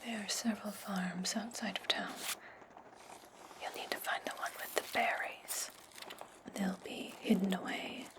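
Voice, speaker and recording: A woman playing an imperious, scheming witch in a breathy, condescending whisper for an ASMR fantasy roleplay. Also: mid-range voice, steady tone, lightly acted. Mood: commanding, sly. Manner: slow, deliberate, condescending. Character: imperious, scheming witch giving orders to her servant.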